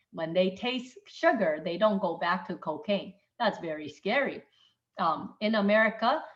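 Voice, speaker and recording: Middle-aged woman lecturing, dull audio. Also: medium pitch. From Taiwan. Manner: emphatic, checks in with listeners. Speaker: doctor giving a webinar talk. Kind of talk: informal lecture.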